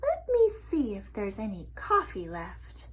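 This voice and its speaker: A woman's voice: medium pitch, huge pitch swings, unhurried, slightly rough, full character voice. A woman voicing a young lady cockroach in a storybook, cool, witty and dryly polite. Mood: dismissive. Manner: dry, coolly polite, mock-sweet. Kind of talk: storybook character voice.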